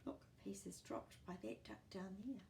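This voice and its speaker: A woman reading a picture book aloud to young children in an animated, encouraging way, with chatty asides to the listener. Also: medium pitch, measured pace, vocal fry, reverberant, background noise.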